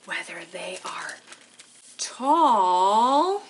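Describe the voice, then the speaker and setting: Animated woman hosting children's storytime. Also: medium pitch, very slow, a little hiss or hum. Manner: animated, sing-song, exaggerated wonder, asking questions. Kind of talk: interactive storytime for young children.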